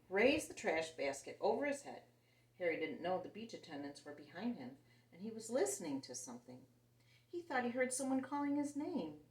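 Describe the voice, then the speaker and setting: A woman reading a picture book aloud to young children in an animated, expressive way. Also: mid-range voice, recorded from a distance. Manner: animated and expressive, voicing characters. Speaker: children's story reader. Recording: roomy sound, set back from the mic.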